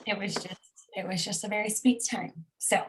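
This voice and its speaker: A woman's voice: medium pitch, steady tone, normal speed, slight background noise. A woman hosting a team video call, introducing the guest in an upbeat, gushing way. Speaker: host.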